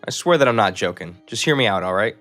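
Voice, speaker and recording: A man's voice: fairly low pitch, expressive, fast talker, a bit bright. A man playing a blunt, slangy, earnest friend in a cartoon. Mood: earnest, insistent. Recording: music under the voice.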